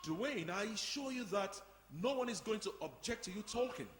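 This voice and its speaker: Actor as indignant, officious man, high-pitched, echoing hall. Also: expressive, normal speed, fairly bright tone, a little hiss or hum. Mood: firm, formal. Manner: emphatic, formal wording. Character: indignant, officious man at a youth centre meeting. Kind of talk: audio drama performed before a live audience. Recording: echoey hall.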